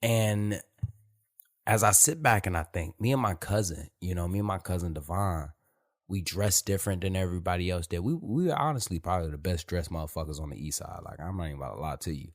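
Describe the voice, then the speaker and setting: Deep-voiced man, loose podcast talk. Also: animated, quick pace. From Detroit, USA. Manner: loose, rambling, peppered with "you feel me" and profanity. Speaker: podcast host. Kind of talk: unscripted casual monologue.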